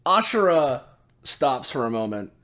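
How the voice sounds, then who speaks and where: Animated man playing a tabletop game. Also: mid-range voice, a little slow. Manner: animated, repeatedly checks with "right". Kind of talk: casual improvised game narration.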